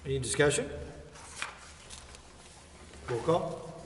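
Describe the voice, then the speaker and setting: A man chairing a city council meeting, reading agenda items in a measured, formal way. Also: on the high side, animated. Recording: echoey council chamber with faint noise.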